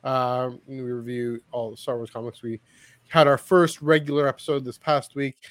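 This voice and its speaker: Halting male podcast host, dry close sound. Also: mid-range voice, level tone, no echo. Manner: halting, repeats words and phrases. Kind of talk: casual podcast chat with plugs.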